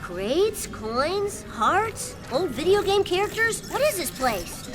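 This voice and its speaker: A bratty cartoon boy, as a woman acts him out, high and raspy, sarcastic and impatient. His voice bounces a lot, with game music behind. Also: big pitch swings, takes it slowly, full character voice. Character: bratty cheeky ten-year-old boy. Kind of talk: video game cutscene acting.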